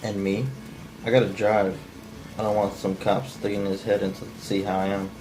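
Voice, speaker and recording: Casting: amateur man as edgy folksy killer, monotone and gravelly, blunt, with faint noise behind. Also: on the low side, moderate pace, close to an everyday voice. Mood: brusque. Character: edgy, cold-blooded man confessing to killing. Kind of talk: amateur cold table read of a script.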